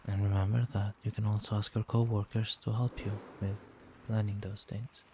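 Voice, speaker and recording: A man as a low, soft-spoken doting vampire boyfriend. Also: little pitch movement, moderate pace, background noise, lightly acted. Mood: reassuring. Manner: tender, reassuring, coaxing. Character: doting, gentle male vampire boyfriend soothing partner to sleep. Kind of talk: soft-spoken ASMR roleplay.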